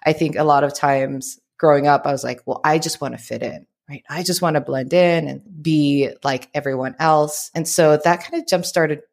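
Woman interview guest from California, low voice, relaxed and quick to laugh. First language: Tagalog. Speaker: life and leadership coach, guest. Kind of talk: casual interview chat.

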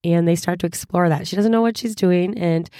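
Hoarse woman host, cheerful and chatty, lower voice, dry close recording. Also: a little fast, mellow, no room sound. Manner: chatty, upbeat, laughs often. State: hoarse from a cold. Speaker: podcast host. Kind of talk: casual solo podcast chat.

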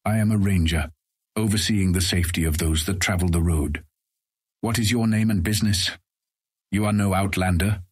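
A man playing a cold, stern ranger in a fantasy audiobook, speaking in a flat, husky voice with curt, formal commands. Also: deep voice, moderate pace, dry recording, clean studio sound. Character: cold, stern ranger guarding the road, older than Raylan. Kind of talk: full-cast fantasy audiobook acting.

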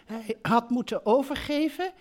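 A woman's voice: a little high, unhurried, darkish tone, lightly acted. A woman playing a blithe, rambling elderly mother who runs a holiday park, in a comic radio play recorded live in a theatre. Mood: fond, matter-of-fact. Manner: rambling, breezily matter-of-fact, doting. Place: theatre stage before a live audience.

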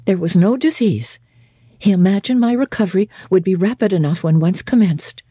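Woman, literary narration, dark tone, slightly breathy husk, expressive, deliberate, formal diction. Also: medium pitch, no room sound, studio quality. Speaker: audiobook narrator. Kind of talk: dramatic literary narration, first person.

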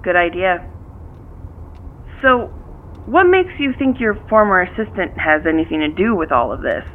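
A woman's voice: fairly low pitch, sing-song, normal speed. A woman playing a guarded, businesslike police detective over the phone in a naturalistic audio drama, with a dry, skeptical manner. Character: guarded, curt female police detective on a call. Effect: telephone filter. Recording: thin phone line with noise.

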